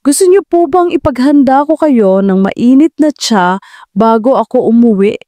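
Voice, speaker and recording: A woman voicing a polite, caring young male chauffeur in a storybook audio drama, speaking respectfully and softly. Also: fairly high pitch, expressive, moderate pace, no echo, voice pushed up, close to an everyday voice. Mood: concerned, polite. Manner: respectful, soft-spoken.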